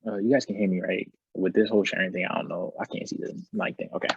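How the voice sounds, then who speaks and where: A man's voice: low-pitched, fast talker, gravelly, background noise. A young man from New York presenting to a video call in a rambling, casual way full of fillers. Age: young adult. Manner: rambling, filler-heavy, laid-back. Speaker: student presenter. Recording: muffled dry video call audio.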